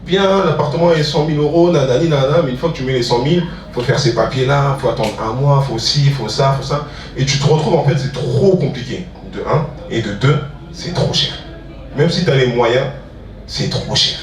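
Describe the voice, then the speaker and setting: A man's voice: medium pitch, slight background noise. A man speaking informally as a guest at a public talk, using slang and repeating phrases for emphasis. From Ivory Coast. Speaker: music producer and entrepreneur, guest speaker. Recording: roomy hall, distant mic, audience murmur.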